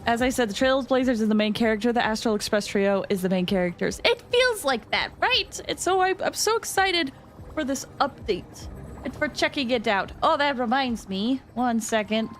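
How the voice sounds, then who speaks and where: A woman hosting a game livestream, chatting casually with viewers in an expressive, excitable way and often repeating phrases for emphasis. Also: a little high, moderate pace, a little hiss or hum. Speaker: game streamer and host.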